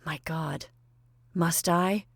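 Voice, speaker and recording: A woman's voice: low-pitched, fairly even intonation, drawn-out words, gravelly, no echo, full character voice. Woman voicing an awkward young man, flustered, pleading, dry close audiobook sound. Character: awkward, embarrassed young man visiting relatives.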